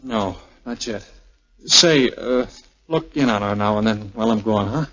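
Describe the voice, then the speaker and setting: A man's voice: on the low side, unhurried, fairly bright tone. An American man playing a tender, wisecracking young army air officer in a 1940s radio drama. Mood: subdued. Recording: muffled, lo-fi 1940s radio recording.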